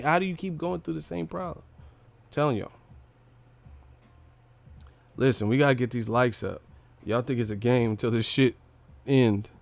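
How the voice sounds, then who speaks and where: A man's voice: medium pitch, dry recording. Male livestream boxing commentator, mid-range voice, unscripted, blunt, slangy and profane, recorded dry. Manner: blunt, opinionated, slangy, swearing. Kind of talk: casual unscripted livestream fight breakdown.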